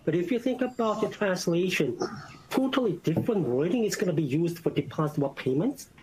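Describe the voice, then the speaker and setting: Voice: mid-range voice, darkish tone, breathy edge, rough recording. A board member with a Korean accent, a native Korean speaker, arguing earnestly over a video call and often saying "you know". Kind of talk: formal remote meeting discussion.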